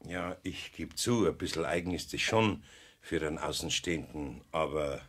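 A man's voice: rumbling low, moderate pace, a bit bright. An older man with a Bavarian accent playing a kindly, wily old master craftsman in a children's audio drama, folksy and patient. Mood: sheepish, explaining.

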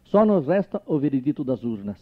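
A man's voice: mid-range voice, sing-song, brisk. A Brazilian man, a mayoral candidate, delivering a formal, declamatory campaign speech to his voters. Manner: solemn, declamatory oratory. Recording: tinny lo-fi archive tape.

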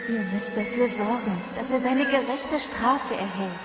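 Under loud music, a mysterious woman acted by a woman speaks high, curt and firm. She is guarded, in the style of a horror radio play. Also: a little slow, lightly acted. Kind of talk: German horror radio drama acting.

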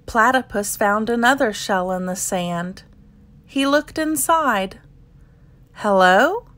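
Casting: female children's storybook reader, highish pitch, very lively intonation, expressive and slow-paced narration.

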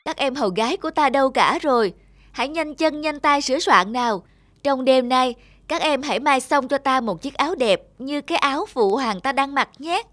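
A woman voicing a gentle, reassuring female frog princess in a storybook cartoon, tender and courtly. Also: high voice, expressive, moderate pace, no room sound. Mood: brisk command.

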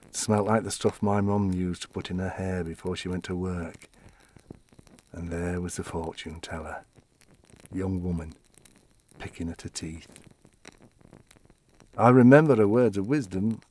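An actor playing an elderly widower in an audio play, reminiscing wistfully about his wife in a halting, conversational, reflective way. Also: low voice, steady tone, a little mellow, a little hiss or hum. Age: older.

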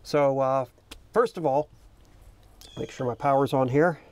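A man's voice: mid-range voice, measured pace, no room sound. A middle-aged American man explaining casually and informally, as a vlog host recording outdoors on a small boat. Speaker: boating video host.

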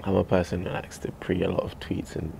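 Low gravelly young man. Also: completely flat delivery, a little mellow, slight background noise. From Tanzania. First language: Swahili. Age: young adult. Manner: laid-back, slangy, drawn-out. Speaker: interviewer, fellow artist. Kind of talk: casual chat. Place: small carpeted room, seated in armchairs.

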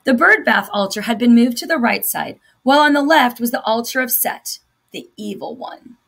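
A woman's voice: on the high side, lively intonation, moderate pace, gravelly, a bit bright, husky. A middle-aged woman reading a children's novel aloud as a teacher does for students. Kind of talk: scripted narration, children's novel read-aloud.

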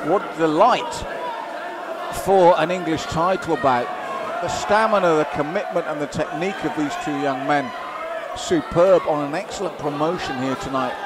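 A male fight commentator delivers live kickboxing play-by-play with excited emphasis and open admiration. His voice sits a little higher than average. It is recorded in a very noisy live venue, with a loud crowd behind him. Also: moderate pace. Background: loud crowd and arena noise.

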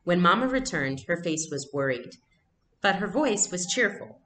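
A woman's voice: on the low side, big pitch swings, moderate pace, a bit bright, roomy sound. A young adult woman giving a read-aloud lesson as a teacher, explaining and narrating, recorded at home on a computer mic. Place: small furnished room at home.

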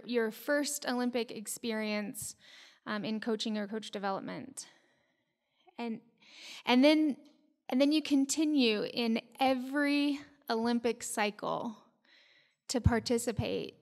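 A woman in her thirties introducing a keynote speaker at a conference podium, hesitant with ums. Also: a little high. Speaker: event presenter introducing speaker. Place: hotel conference room. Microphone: podium mic. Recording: long reverb of a conference hall.

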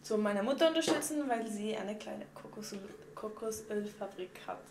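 A woman's voice: medium pitch, expressive, normal speed. A young woman with a Swiss German accent talks through her tips in a loose, chatty way, padding her sentences with fillers. She is recorded in a small tiled bathroom that rings with echo, with a faint hiss underneath. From Switzerland. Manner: chatty and unpolished, with many fillers like "und so". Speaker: vlogger. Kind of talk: casual beauty tips vlog. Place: home bathroom, indoors. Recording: echoey bathroom with faint noise.

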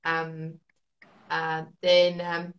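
A woman's voice: sits low, monotone, drawn-out words, lo-fi sound. Latvian-accented woman on a video call. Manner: chatty, laughs often, many fillers. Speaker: forex trader, interviewee. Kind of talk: casual video-call interview. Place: small indoor room at night. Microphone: video call webcam.

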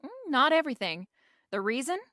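A woman's voice: high voice, sing-song, a little fast, bright, forceful tone, no echo, clean studio sound, full character voice. An actress playing a wry, confident female private detective in a radio drama, with a breezy, slangy, wisecracking delivery.

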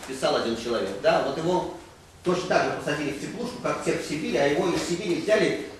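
A man's voice: on the high side, lively intonation, fast. Man talking from across an echoey room. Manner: rambling, frequent "да?" tag questions, gesturing. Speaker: roundtable participant. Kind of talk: panel discussion. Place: conference room. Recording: echoey room from far off, noisy.

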